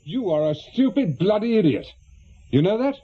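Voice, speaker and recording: An actor playing a blunt, irritable middle-aged ex-detective in a British radio drama, gruff and sardonic, with an English accent. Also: male voice, high-pitched, big pitch swings, moderate pace, dull audio, exaggerated voice. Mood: angry, exasperated.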